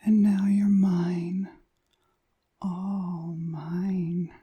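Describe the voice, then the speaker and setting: Woman playing a slow, whispering, seductive witch. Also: lowish voice, flat delivery, dark tone, no echo, exaggerated voice. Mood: hypnotic, lulling. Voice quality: breathy, whispery. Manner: slow, deliberate, commanding, lulling. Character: seductive, commanding witch hypnotist, dominant and possessive. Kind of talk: ASMR roleplay, slow hypnotic whispering. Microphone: close, dry microphone.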